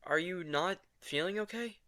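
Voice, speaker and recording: An actor playing a grumpy, awkward, lovestruck male vampire in an intimate romantic audio drama, with a halting, flustered, terse delivery. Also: high voice, bright, forceful tone, dry recording, studio quality. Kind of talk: romantic fantasy audio drama acting, ASMR.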